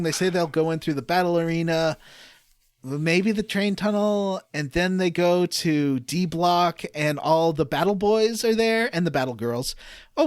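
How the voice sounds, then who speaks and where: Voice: mid-range voice, no room sound, clean studio sound. A podcast co-host giving a casual, comedic recap of a video game story and acting out the characters' lines. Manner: acts out characters' lines in quoted voices.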